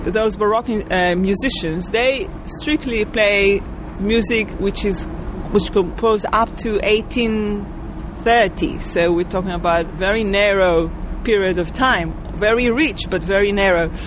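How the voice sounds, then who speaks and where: A woman's voice: mid-range voice, a little slow, background noise. A woman composer from Israel, answering interview questions thoughtfully and searching for her words.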